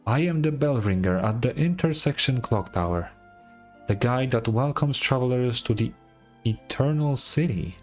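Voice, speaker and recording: Game casting: man as devout town bell ringer, lofty and pompous, medium pitch, sermonizing manner. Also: expressive, normal speed, soft background music, natural voice. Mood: welcoming. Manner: grandiose, archaic, preaching. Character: pompous zealous male bell ringer, dreamy cult devotee. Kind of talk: game dialogue read in character.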